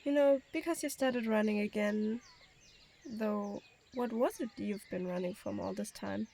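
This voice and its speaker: A woman's voice: medium pitch, unhurried, vocal fry, warm tone, slight background noise. A woman playing a playful, teasing young woman in an audio drama.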